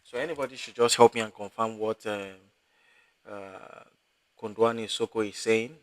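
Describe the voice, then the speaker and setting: Guy teaching a live online class, kinda low voice, lots of ums and restarts. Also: fairly even intonation, dry recording, studio quality. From Africa. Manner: halting, many fillers and restarts. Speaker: instructor.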